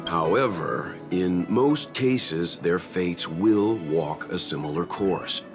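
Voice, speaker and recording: A man voicing a calm, thoughtful gentleman mentor in a video game, speaking in a measured, courteous and serious way. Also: low-pitched, music in the background. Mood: grave, explanatory. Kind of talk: video game English dub acting.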